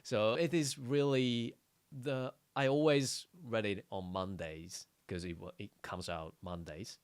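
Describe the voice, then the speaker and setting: Low-voiced man chatting haltingly. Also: fairly even intonation, clean tone, dry recording. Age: 30s. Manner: halting, repeats words. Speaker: podcast co-host. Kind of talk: casual podcast chat.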